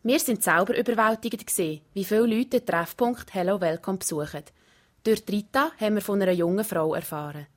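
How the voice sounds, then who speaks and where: A woman's voice: mid-range voice, brisk. Young Swiss German woman narrating a report. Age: young adult. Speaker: student reporter. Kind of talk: scripted radio report narration.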